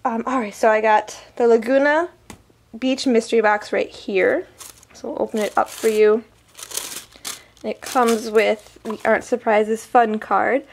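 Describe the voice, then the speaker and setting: A woman's voice: fairly high pitch, steady tone, measured pace, vocal fry, a little hiss or hum. A woman vlogger chatting casually through an unboxing review, her voice a little weak from a summer cold, full of ums and likes. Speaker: beauty vlogger.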